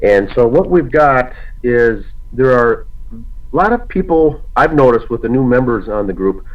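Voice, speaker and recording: A man hosting a casual discussion broadcast, slightly hoarse from a cold, talking haltingly with many fillers and restarts. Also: mid-range voice, lively intonation. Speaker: podcast host. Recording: lo-fi muffled audio.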